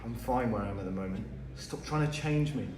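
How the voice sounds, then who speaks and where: A man's voice: medium pitch, fairly quick, lightly acted. A man acts an awkward, insecure teenage boy with a hesitant, defensive way of talking. It is a read-through of a stage play, recorded at a distance in an echoey room with faint noise. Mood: irritated. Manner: hesitant, stammering, nervous.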